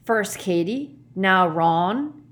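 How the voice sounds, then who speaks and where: A woman voicing a huge, shaggy, anxious man with a hoarse growl and slow rustic speech in a storybook. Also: mid-range voice, animated.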